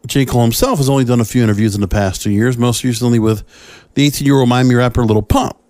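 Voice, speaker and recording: A man speaking as a podcast host, giving solo commentary in halting, short phrases. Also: medium pitch, fairly even intonation, darkish tone, no echo, studio quality.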